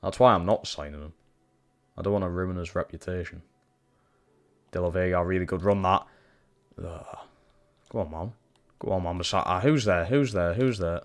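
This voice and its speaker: Deep-voiced Geordie man gaming live. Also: moderate pace, pauses often. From Newcastle, England. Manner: sweary, frustrated reactions to play. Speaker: streamer playing a football career mode.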